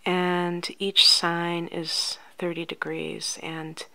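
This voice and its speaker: A woman speaking softly, at times close to a whisper, in a gentle, soothing ASMR roleplay as a life coach talking to the listener. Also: fairly low pitch, completely flat delivery, vocal fry. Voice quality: soft, often near-whispered. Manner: gentle, unhurried, soothing, addressing the listener directly. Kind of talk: soft-spoken ASMR roleplay.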